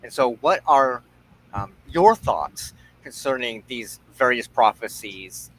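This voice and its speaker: A man hosting a reflective spiritual discussion, talking in halting, deliberate short phrases. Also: medium pitch, expressive, a bit bright, low-quality recording. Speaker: host of a spiritual discussion show.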